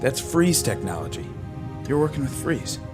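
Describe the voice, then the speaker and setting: A man's voice: mid-range voice, sing-song, normal speed, music in the background, lightly acted. A man playing an earnest, challenging young hero in a superhero fan dub. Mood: concerned, probing.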